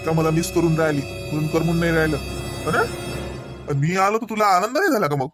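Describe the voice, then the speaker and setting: Man voicing a teasing, high-voiced cartoon husband. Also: quick pace, music in the background, close to an everyday voice. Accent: Vidarbha Marathi. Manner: chatty, mock-reproachful. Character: teasing, needling young husband at his in-laws. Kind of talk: comedy cartoon dialogue.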